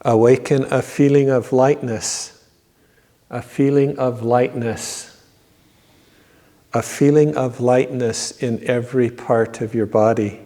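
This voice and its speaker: Very slow, mellow man guiding meditation. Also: medium pitch, steady tone. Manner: measured, repetitive, instructing. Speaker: guided meditation teacher. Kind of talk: yoga nidra guided meditation. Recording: long reverberant echo on voice.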